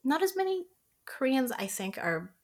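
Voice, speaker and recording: A woman's voice: high voice, big pitch swings, normal speed. A woman raised in Canada, a podcast guest on a remote call, chatting casually with lots of "like" fillers and easy laughs. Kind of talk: casual chat.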